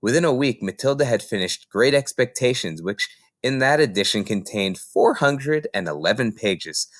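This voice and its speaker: A man narrates a children's storybook with a very varied, expressive pitch. His voice sits in a middle register and is recorded dry and close, with little room sound. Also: moderate pace, no room sound. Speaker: storybook reader.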